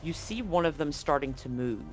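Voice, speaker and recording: A man with a slightly raised pitch guides his players through a grim tabletop scene, pacing each description slowly and deliberately. He often stops and restarts mid-sentence, with faint music underneath. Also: lively intonation. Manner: slow, deliberate scene-setting with frequent restarts. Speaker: game master. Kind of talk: narrating a tabletop horror game.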